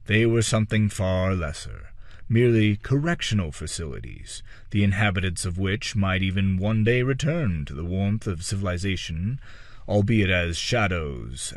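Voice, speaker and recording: A man with a low voice narrates a dark fantasy audiobook. His intonation moves widely and dramatically, with deliberate pauses between phrases. The recording is dry and close, with hardly any room sound. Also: no echo. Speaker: audiobook-style reader.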